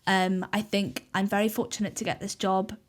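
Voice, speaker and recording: A woman's voice: mid-range voice, little pitch movement, moderate pace. A woman in her twenties with a Welsh accent, a native Welsh speaker, chatting casually as a podcast guest with frequent ums. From Cardiff, Wales. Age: 20s. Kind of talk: casual interview chat.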